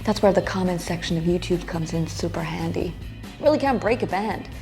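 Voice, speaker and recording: A woman's voice: on the low side, brisk, darkish tone, faint music behind, close to an everyday voice. A woman playing a blunt, sardonic young studio engineer in a sitcom, with a dismissive conversational delivery. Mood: scornful.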